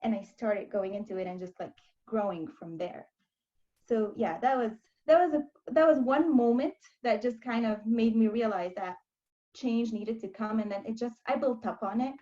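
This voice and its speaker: Young woman from lebanon chatting on a video call, kinda high voice, muffled audio. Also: mellow. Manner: candid, storytelling, quick asides. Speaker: life coach and guest. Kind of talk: interview conversation.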